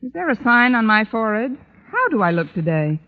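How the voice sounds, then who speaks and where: Dry, wisecracking schoolteacher, muffled recording. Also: female voice, on the high side, very animated, fairly quick. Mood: sardonic. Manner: dry, sardonic delivery. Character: wry, wisecracking lovelorn schoolteacher, a woman. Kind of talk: 1940s-50s radio comedy acting. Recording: muffled old radio recording.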